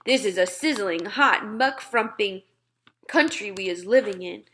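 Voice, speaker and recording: A woman voicing a gentle, secretive male giant from a children's storybook, with muddled grammar and whimsical made-up words. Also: mid-range voice, unhurried, a little hiss or hum, close to an everyday voice. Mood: dreamy, wistful. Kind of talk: children's storybook read-aloud.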